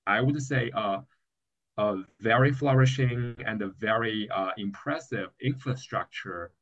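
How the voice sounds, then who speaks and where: A man from China with a Chinese accent in a casual podcast discussion, speaking haltingly and repeating words as he searches for phrasing. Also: on the low side, a bit bright, muffled recording. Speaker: podcast co-host.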